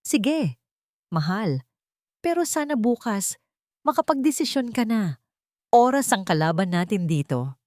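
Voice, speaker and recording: A woman's voice: mid-range voice, sing-song, unhurried, pauses often, darkish tone, no echo, pitched above the performer's own voice. A woman narrator plays a scheming husband in an audio storybook. He is coaxing and condescending, sweet on top and impatient underneath, recorded dry. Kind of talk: dramatic storybook reading.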